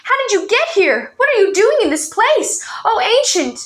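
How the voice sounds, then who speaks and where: A woman voicing a brave, frantic boy who rushes out his story urgently in a storybook reading. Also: high-pitched, wildly swooping pitch, creaky, pushed and bright, exaggerated voice. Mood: overjoyed.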